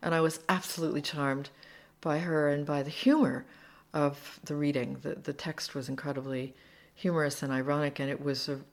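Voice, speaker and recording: A woman translator, speaking as an interview guest and telling animated, self-deprecating stories about learning languages, laughing easily. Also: low voice, moderate pace. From United States. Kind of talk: conversational storytelling.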